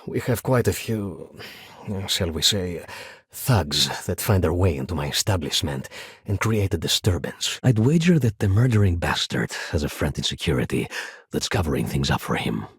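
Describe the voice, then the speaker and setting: A man voicing a wary, fussy luxury goods merchant in a video game, with husky, polite and formal speech. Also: low-pitched, very animated, moderate pace, no room sound, clean studio sound, full character voice. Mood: guarded, disdainful. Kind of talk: game character dialogue lines.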